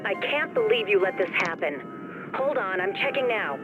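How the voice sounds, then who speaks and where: A woman's voice: mid-range voice, animated, normal speed, close to an everyday voice. An actress playing an exasperated, efficient woman informant on a call in a video game cutscene. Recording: dull band-limited call over music.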